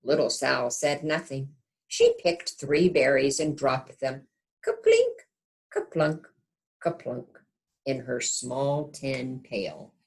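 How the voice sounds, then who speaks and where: A woman reading a storybook aloud to young children in an expressive teacher's manner, pausing to check in with the listeners. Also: rumbling low.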